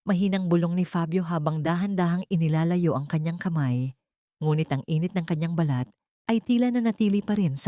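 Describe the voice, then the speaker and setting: A woman reads a dramatic story as its narrator. Her voice is dark and mellow, set a little low, in a very dry recording. She tells it in a measured, sentimental way. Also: dry recording. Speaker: narrator of audio drama stories.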